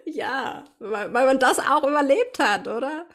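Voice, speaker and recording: A woman's voice: high-pitched, expressive, unhurried. A woman hosting a podcast who often laughs and encourages her guest. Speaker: podcast host, clown. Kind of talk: casual interview chat.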